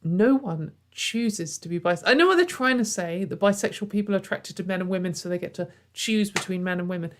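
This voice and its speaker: A woman's voice: mid-range voice, brisk, few pauses. A woman hosting a casual commentary video from home, sarcastic and exasperated, with frequent sighs and fillers.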